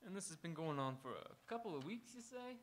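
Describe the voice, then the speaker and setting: An actor playing a steady, watchful marshal in a live radio Western, folksy but with authority. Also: male voice, fairly high pitch, a little fast. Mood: curious, thoughtful. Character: steady, watchful frontier marshal keeping the peace. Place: auditorium stage, live radio theatre performance.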